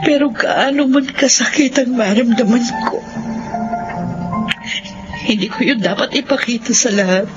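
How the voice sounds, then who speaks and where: Woman acting slow, sorrowful young cousin. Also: a little high, lightly acted. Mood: sad longing. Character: gentle, self-sacrificing young cousin hiding her love. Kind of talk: radio soap drama acting. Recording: dull audio under loud music.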